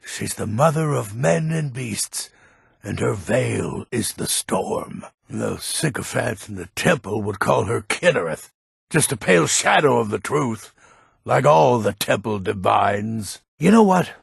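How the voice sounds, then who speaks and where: A grumpy old hunter from a fantasy game, super raspy n husky, pitch swings around a lot, gruff but honourable. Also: male voice, expressive, dry recording, clean studio sound, heavily acted. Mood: reverent, stern, then gruff, reflective. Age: older. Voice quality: gravelly, husky. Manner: grumpy, weighty, deliberate. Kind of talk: fantasy video game character acting.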